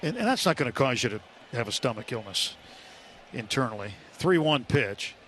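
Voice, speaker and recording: An American man doing live radio play-by-play of a baseball game, with unhurried pitch calls and pauses between phrases. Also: big pitch swings, a bit bright. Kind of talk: live sports commentary. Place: ballpark broadcast booth. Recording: live stadium broadcast, ambient noise.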